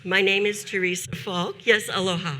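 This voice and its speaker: A woman in her fifties, a teacher, giving a prepared talk at a conference with a measured, deliberate delivery and slowed emphasis on key phrases. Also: mid-range voice, fairly even intonation, sharp tone, slight background noise. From United States.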